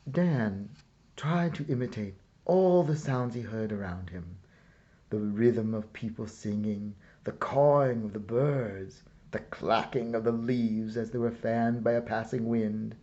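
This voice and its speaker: A man narrating a children's storybook aloud in a measured, deliberate way with pauses. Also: lowish voice, warm tone, highs cut off. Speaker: storyteller reading a picture book aloud.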